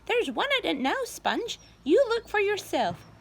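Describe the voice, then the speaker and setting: A woman doing a shrill, bossy cruel aunt. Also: big pitch swings, unhurried, gravelly, exaggerated voice. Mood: excited, shrill. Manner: screeching, high and commanding.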